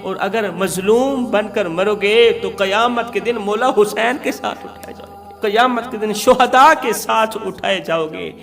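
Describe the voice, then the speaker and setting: High-pitched man preaching in heavy reverb. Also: unhurried, vocal fry, a bit bright. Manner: dramatic, pleading, repeats phrases for emphasis. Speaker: Islamic preacher and storyteller. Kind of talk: impassioned Urdu sermon storytelling. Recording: long reverb, music behind.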